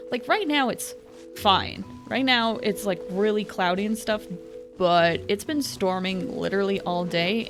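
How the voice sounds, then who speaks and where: A woman giving casual live gameplay commentary, rambling and thinking aloud as she plays. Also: mid-range voice. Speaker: game streamer. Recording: game music behind the voice. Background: video game music.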